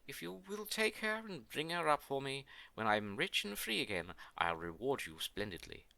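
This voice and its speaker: A gentle, anguished queen from a fairy tale, voiced by a man reading aloud. She speaks tenderly and pleadingly, with dramatic sweeps of pitch and a close, dry sound. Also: very animated, moderate pace, creaky, no room sound, full character voice, poor audio. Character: gentle, anguished imprisoned queen and devoted young mother. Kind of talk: storybook character voice.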